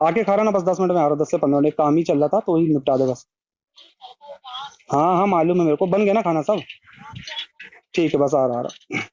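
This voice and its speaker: A man's voice: a little high, brisk, long pauses, darkish tone. A man chatting casually and colloquially on a recorded phone call.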